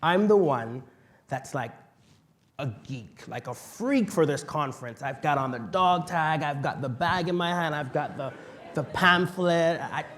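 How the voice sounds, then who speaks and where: A young man from the Bahamas, telling a personal story as a panel speaker, passionate and vocal, swinging from quiet asides to loud outbursts. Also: fairly high pitch, a little slow. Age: young adult.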